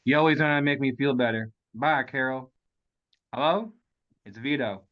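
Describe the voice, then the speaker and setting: A man's voice: medium pitch, moderate pace, dull audio, lightly acted. A man playing a cool, flirty street charmer in a comedy table read, talking slangy, cocky and laid-back. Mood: cool, easygoing.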